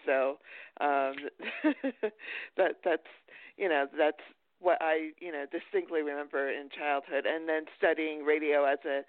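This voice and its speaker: Higher-voiced man on a phone-like line. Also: steady tone, no room sound. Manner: halting, rambling delivery. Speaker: podcast host. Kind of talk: casual interview chat.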